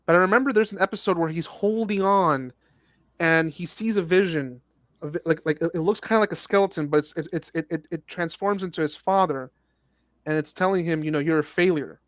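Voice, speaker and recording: A man talking casually as a guest, his voice a touch higher than most men's. He stumbles and repeats words as he thinks, and the sound is dry and close. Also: dry recording. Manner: halting, stammering, repeats words. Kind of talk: casual chat.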